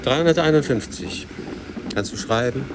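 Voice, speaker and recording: A man's voice: medium pitch, normal speed, background noise, lightly acted. An actor in a radio drama playing a gloomy, sardonic older man, terse and dry, often questioning. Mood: sharp, testing.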